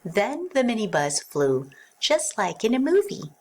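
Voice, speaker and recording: A woman reading a rhyming children's storybook aloud as narrator, in an expressive sing-song way. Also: fairly high pitch, measured pace, slight background noise.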